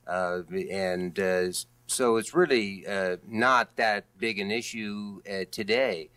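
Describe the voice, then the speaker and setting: A man speaking as a candidate in a political debate, with a halting delivery full of hesitations. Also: fairly low pitch, bright, forceful tone. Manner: halting, hesitant delivery with many long pauses. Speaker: candidate for district attorney in a debate.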